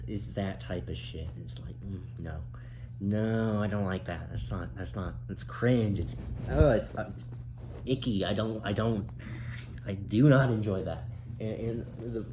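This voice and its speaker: A young man with a low voice gives casual reaction commentary. He complains in a halting, repetitive way, circling back on his phrases. The sound is dull, with clear noise behind him.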